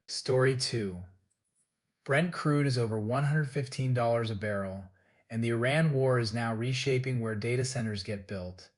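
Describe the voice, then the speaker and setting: A man reading scripted business news as a host, in a formal, measured delivery. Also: fairly low pitch, darkish tone.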